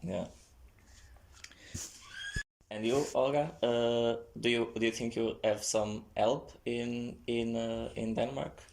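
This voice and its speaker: A man speaking English as an as a podcast guest, hesitant with many pauses. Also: medium pitch, steady tone, vocal fry, darkish tone, poor audio. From Portugal. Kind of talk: casual chat.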